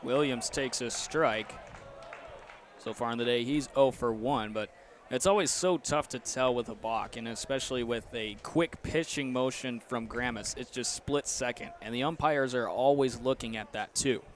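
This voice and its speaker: A man's voice: medium pitch, moderate pace. A young American man doing live play-by-play baseball commentary on a headset mic from a ballpark. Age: young adult.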